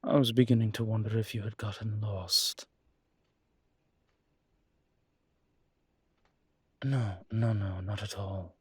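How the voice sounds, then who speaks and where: A man playing a suave, flirtatious demon professor in an intimate audio roleplay, speaking in a courtly, teasing way with formal wording and hesitant pauses. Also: lowish voice, fairly even intonation, a little mellow, slightly rough, dry recording. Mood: gracious, welcoming.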